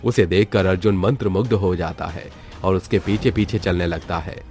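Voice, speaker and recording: A man's voice: deep voice, normal speed, a little mellow, soft background music. A man narrating a scripted story as a storyteller.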